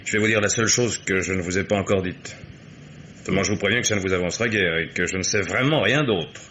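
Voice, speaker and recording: Radio mystery private investigator: composed, courteous, guarded, low register. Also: male voice, bright, forceful tone, a little hiss or hum. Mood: earnest, conceding. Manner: measured, polite, unruffled. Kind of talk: French radio mystery play acting.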